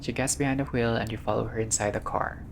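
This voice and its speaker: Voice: medium pitch, steady tone, fast talker. A gamer doing a casual playthrough, reading visual novel text aloud with informal asides, some swearing and the odd stumble. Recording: clearly noisy recording.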